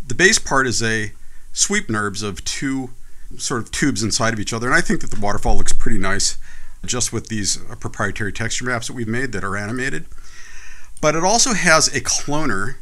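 A man hosting a casual software tutorial, talking through a screen recording in a relaxed, chatty way with many fillers. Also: mid-range voice. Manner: relaxed, chatty, frequent "uh" and "you know".

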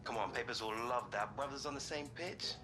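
A man's voice: medium pitch, fairly quick, lightly acted. Young man over music, acted. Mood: teasing. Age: young adult. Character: laid-back, protective young male footballer. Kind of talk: video game cutscene dialogue.

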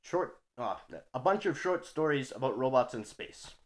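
A man's voice: mid-range voice, lively intonation, normal speed. A young man reading his own short story aloud in a small wood-paneled room, with dry, emphatic storytelling, recorded on a webcam close by.

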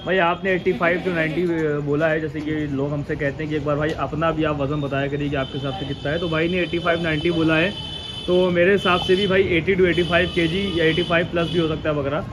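Quick, eager male host outdoors at night. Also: on the high side, level tone, noisy background. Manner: enthusiastic, interjects praise often. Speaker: channel host. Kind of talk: casual goat showcase chat.

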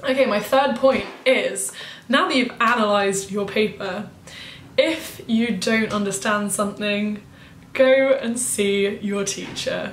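Chatty young woman, slightly croaky. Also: medium pitch, echoey room, slight background noise. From United Kingdom. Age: young adult. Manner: chatty, emphatic, acts out quoted excuses. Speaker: student vlogger. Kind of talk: casual advice vlog. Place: bedroom at home, indoors.

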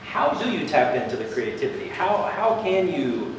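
A man's voice: on the high side, very animated, moderate pace. A man taking part in a workshop discussion, speaking hesitantly with frequent restarts. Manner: hesitant, many restarts and uhs. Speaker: workshop participant. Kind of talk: group discussion. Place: large meeting room, round table. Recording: echoey room, distant, noisy.

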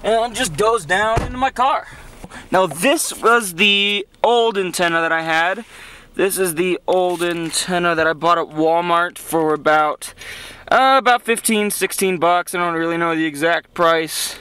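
Young guy, late teens, bright forward voice, chatting off the cuff in a car on a camera mic. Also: sits high, a little slow, clear, resonant tone. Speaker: hobbyist vlogger demonstrating his CB radio. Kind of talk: casual hands-on demonstration. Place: inside a car, outdoors parking area. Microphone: handheld camera's built-in mic. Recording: noisy camera audio with vehicle ambience.

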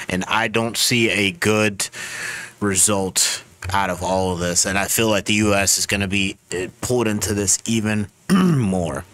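A man's voice: lowish voice, a bit bright, no room sound. A man speaking casually and in slang as a streamer reacting to the news. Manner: slangy, conversational.

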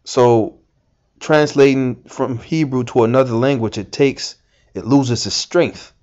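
A man's voice: medium pitch, measured pace, darkish tone, highs cut off. A man giving informal scripture teaching, rambling with many fillers and restarts. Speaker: Bible teacher.

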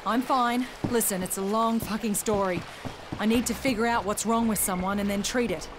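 Female actor as hard-edged, exasperated game heroine; mid-pitched, direct delivery over noisy ambience. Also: fairly even intonation, moderate pace, close to an everyday voice. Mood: urgent, businesslike. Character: tough, foul-mouthed, impatient female survivor. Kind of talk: video game cutscene acting.

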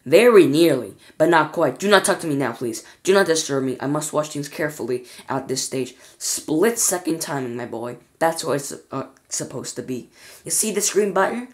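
A woman reading aloud and voicing an eccentric, excitable man who pilots a flying elevator. He is fussy, bossy and quick to exclaim. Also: sits low.

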